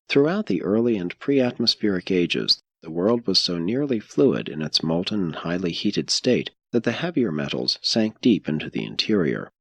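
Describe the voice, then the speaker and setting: Very deep husky man narrating an audiobook. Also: dry recording.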